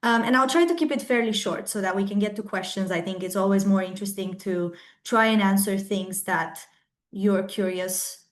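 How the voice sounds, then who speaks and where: A young woman from Romania giving a webinar talk to students in an earnest, thoughtful way, often pausing with "um", over a video call. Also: medium pitch. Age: young adult. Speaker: webinar speaker.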